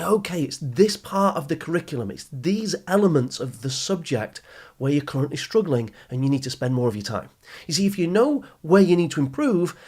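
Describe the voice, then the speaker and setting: Man, motivational podcast host, slightly husky. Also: on the high side, darkish tone. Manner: upbeat, emphatic, coaching delivery with direct address. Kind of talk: motivational podcast talk to teenagers.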